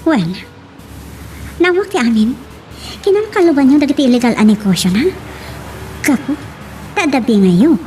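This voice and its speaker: A woman's voice: high-pitched, lively intonation, normal speed, pauses often, mellow, slight background noise. An actress with an Ilocano accent playing an earnest, insistent young policewoman in a radio drama. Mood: accusing, insistent.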